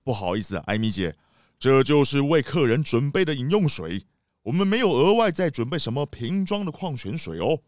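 A man playing a polite, genial city mayor in a children's audio drama, courteous and expressive. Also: medium pitch, a little fast, no room sound, clean studio sound, lightly acted.

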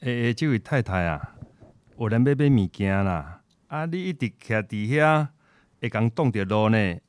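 A man's voice: fairly low pitch, lively intonation, normal speed, smooth, close to an everyday voice. A man with a Taiwanese accent acting a kindly, hospitable old shopkeeper grandfather in a radio drama.

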